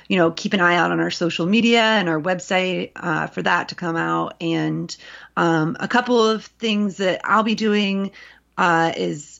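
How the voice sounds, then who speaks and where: A woman's voice: fairly low pitch, fairly even intonation, a little slow, vocal fry, fairly bright tone, highs cut off. A woman in her thirties co-hosting a podcast in casual chat, talking freely with many fillers and restarts. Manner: chatty, lots of fillers and restarts. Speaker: podcast co-host and journal editor.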